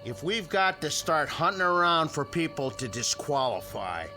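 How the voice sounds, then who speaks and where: A hot-headed, bigoted neighbor in a radio drama. The voice is sharp and forceful, fairly high and aggressive, over faint music. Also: male voice, sing-song, moderate pace. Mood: sneering hostility. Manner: aggressive, sneering.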